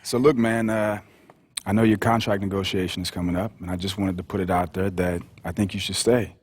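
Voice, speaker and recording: A man's voice: low-pitched, steady tone, a little fast, breathy edge, no echo. A man playing an easygoing young pro basketball player in casual video game cutscene dialogue. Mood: earnest. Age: young adult.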